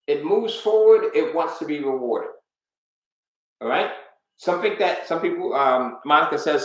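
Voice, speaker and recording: Man, business coach, live webinar, emphatic, rhetorical questions, very echoey room. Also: a little high. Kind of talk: live webinar teaching, talking to chat viewers.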